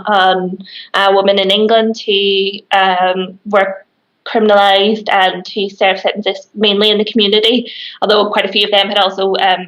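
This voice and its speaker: A woman in her thirties with a Northern Irish accent, a criminology lecturer answering interview questions on a video call from a small office, pausing often with fillers and restarts. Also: medium pitch, a bit bright, low-quality recording. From Northern Ireland. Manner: hesitant, many fillers and restarts. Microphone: webcam on video call.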